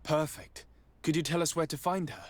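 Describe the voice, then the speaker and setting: An actor playing a diplomatic, measured and formal nobleman in a video game. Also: on the high side, fairly even intonation, no echo, lightly acted. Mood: polite. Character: composed, diplomatic young noble envoy. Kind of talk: dramatic video game dialogue. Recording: faint outdoor ambient noise behind.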